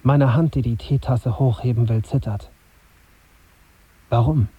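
An actor playing a brooding young journalist, narrating his tense inner thoughts in a measured, husky voice for a radio drama. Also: mid-range voice, muffled recording. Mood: anxious, introspective. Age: young adult. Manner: introspective, measured, bitter self-questioning.

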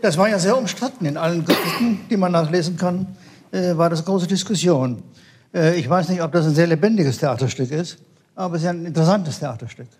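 A man's voice: on the high side, brisk, darkish tone, husky. An older man, a guest expert, giving a reflective unscripted answer in a discussion. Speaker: theatre critic and historian guest.

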